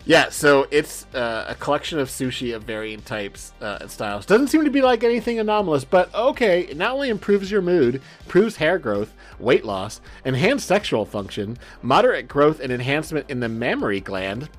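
Californian man with a very lively pitch. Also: moderate pace, hardly any pauses, soft background music. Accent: Californian American. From Southern California, USA. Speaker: podcast co-host. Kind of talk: casual podcast chat.

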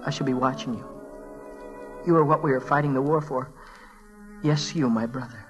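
An American man playing an earnest, grieving teenage boy in a 1940s radio drama, speaking haltingly and with emotion. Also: medium pitch, a bit bright, highs cut off, close to an everyday voice. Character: earnest grieving teenage boy reading his soldier brother's letter. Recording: vintage 1940s radio broadcast, music behind.

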